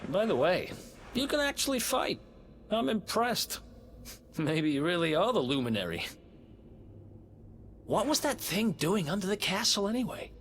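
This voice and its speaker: A man's voice: high voice, big pitch swings, moderate pace, pauses often, fairly bright tone, a little hiss or hum, full character voice. An actor voicing a cocky young male thief in a game cutscene, full of sarcastic quips. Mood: wry. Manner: quippy, sarcastic asides. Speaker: game character. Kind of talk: video game cutscene voice acting.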